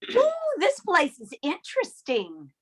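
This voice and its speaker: A woman voicing a flighty old widow who speaks in a high, wide-eyed sing-song. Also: huge pitch swings, measured pace, heavily acted. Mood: giddy.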